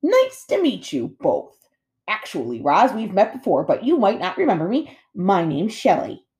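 Woman doing a chatty, lively big otter lady. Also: medium pitch, normal speed, voice pushed up. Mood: cheery. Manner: breezy, casual, sociable.

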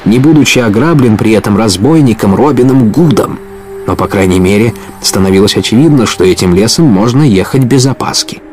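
A man narrating an audio play as a scripted adventure story, in an expressive storytelling manner. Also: medium pitch, slight background noise.

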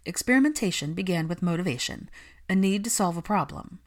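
A woman reading fan fiction as an audiobook narrator, in expressive, measured scripted storytelling. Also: fairly low pitch.

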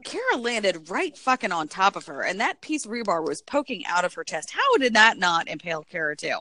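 A woman co-hosting a casual chat podcast who laughs loudly and often and talks with animated energy. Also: medium pitch, brisk, no room sound. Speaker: podcast co-host.

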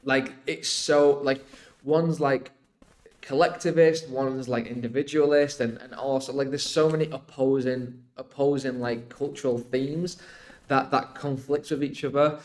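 A man's voice: medium pitch, fairly even intonation, measured pace. A man, talking casually on a podcast in a rambling, emphatic way, often checking in with the listener. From Iraq until age eight, then UK. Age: 20s. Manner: rambling, emphatic explaining with frequent "like" and "right?". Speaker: podcast guest.

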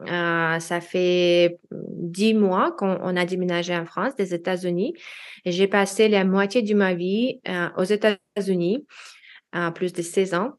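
A woman's voice: fairly low pitch, fairly even intonation, unhurried. A woman in her thirties, a native Russian speaker with a Russian accent, talking as a guest in a casual interview, hesitant and full of fillers and restarts. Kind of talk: casual interview chat in French.

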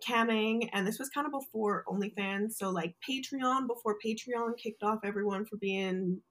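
A woman's voice: medium pitch, normal speed, a bit bright, rough recording. A young adult woman speaking as a podcast guest, candid and outspoken in casual conversation. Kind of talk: casual interview chat.

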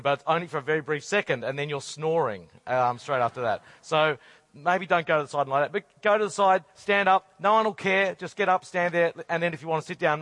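A middle-aged man with a hoarse, croaky voice, preaching a sermon with earnest rhetorical questions. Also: fairly high pitch, fairly bright tone, no echo. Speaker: conference speaker.

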